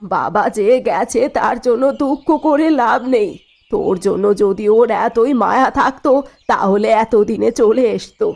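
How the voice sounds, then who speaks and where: A woman's voice: a little high, measured pace, creaky, soft background music. A woman voicing a spiteful, scheming middle-aged mother-in-law in a cartoon drama, needling and self-justifying. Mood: defensive. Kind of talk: dubbed cartoon dialogue.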